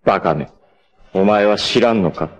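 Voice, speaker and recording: An actor playing a proud, cool martial arts warrior in a 1980s anime, with a sardonic, dramatic delivery. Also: male voice, fairly low pitch, moderate pace, natural voice. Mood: scornful, taunting. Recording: noisy 1980s anime soundtrack.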